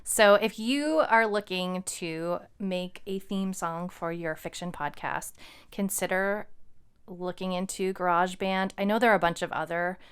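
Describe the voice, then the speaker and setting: A woman's voice: fairly low pitch, unhurried, smooth. A woman co-hosting a podcast in a casual, conversational style. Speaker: podcast co-host and fiction writer.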